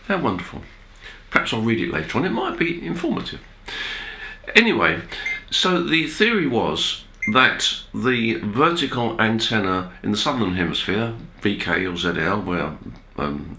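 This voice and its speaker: A man presenting an informal technical explainer as a video host, speaking in a measured, hesitant way with pauses. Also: fairly low pitch, bright, forceful tone. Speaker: amateur radio video host. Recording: roomy, echoey indoor sound.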